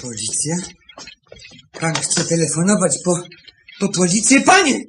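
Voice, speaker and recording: A man's voice: on the high side, very animated, measured pace. A man acting in a radio play as a frightened, pleading nineteen-year-old apprentice who stammers and begs. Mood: terrified pleading. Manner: stammering, begging. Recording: noisy 1970s radio recording.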